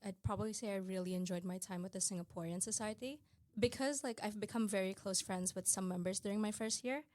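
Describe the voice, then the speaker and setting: A woman's voice: mid-range voice, a little mellow, no echo. A young woman from Indonesia, a student guest, chatting casually and filling her talk with "like". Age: young adult. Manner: chatty, with frequent "like" fillers. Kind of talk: casual chat.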